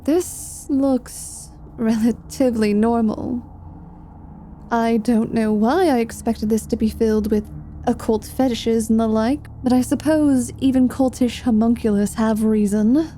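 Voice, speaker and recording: A woman's voice: on the high side, fairly even intonation, measured pace, warm tone, slight background noise, close to an everyday voice. A woman playing a wry, foul-mouthed adventurer and reluctant hero in an intimate ASMR fantasy roleplay. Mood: curious.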